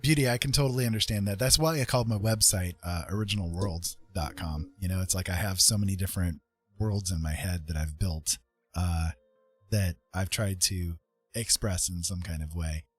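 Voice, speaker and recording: A middle-aged man with a low, husky voice talks earnestly and reflectively on a casual livestream. He feels his way through his thoughts with a hesitant, musing delivery. The sound is close and dry, with music playing behind him. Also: moderate pace, no room sound. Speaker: livestream host, artist and writer.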